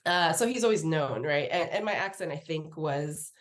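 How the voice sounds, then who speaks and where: A woman from Nigeria, a guest on a podcast, telling a story in a chatty, animated way, laughing often and acting out what people said. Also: deep voice, moderate pace, crowd noise. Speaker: podcast guest, research professor. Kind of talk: casual interview storytelling.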